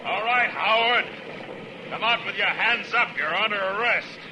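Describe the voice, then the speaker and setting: A world-weary western marshal, played by a male actor in a 1950s radio drama. He is terse and commanding, with a slightly high male voice. The old recording is very noisy. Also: animated, moderate pace, close to an everyday voice. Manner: terse, commanding, plain-spoken. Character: steady, world-weary frontier marshal, adult man.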